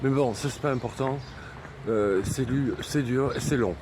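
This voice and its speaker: A man's voice: mid-range voice, normal speed. A man vlogging a long pilgrimage walk, teasing the people he meets with joking questions. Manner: teasing, playful interviewer. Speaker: hiking vlogger. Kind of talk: joking travel vlog to camera. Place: outdoors on a coastal pilgrimage trail. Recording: loud outdoor wind noise on the mic.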